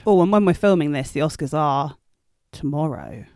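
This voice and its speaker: A man chats in a relaxed podcast co-host style. The voice is pitched a bit high for a man and is captured dry, without room echo. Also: moderate pace. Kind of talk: casual chat.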